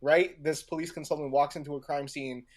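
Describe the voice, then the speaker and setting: A man talking casually as a podcast co-host, halting and repeating himself as he searches for words. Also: on the high side, fairly even intonation. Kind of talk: casual discussion of audio drama craft.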